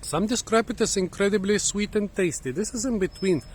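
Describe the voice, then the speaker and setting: A hoarse man, musing and halting as he thinks aloud while hosting a casual fruit-tasting vlog outdoors in a garden, recorded on a phone. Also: sits high. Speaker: fruit channel host. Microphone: phone camera.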